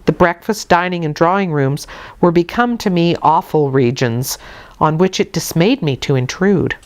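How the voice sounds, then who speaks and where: A woman reading literary fiction aloud as an audiobook narrator, in a measured, expressive storytelling manner. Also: on the low side.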